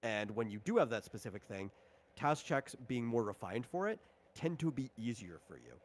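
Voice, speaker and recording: A man's voice: mid-range voice, sing-song, moderate pace. A man casually explaining tabletop game rules in an informal, conversational way as he runs a session. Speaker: game designer running a tabletop RPG session.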